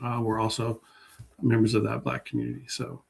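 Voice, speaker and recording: A man's voice: on the low side, brisk, creaky, breathy edge. A man speaking informally as a guest historian, hesitant and often rephrasing as he searches for words. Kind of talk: informal talk and question answering.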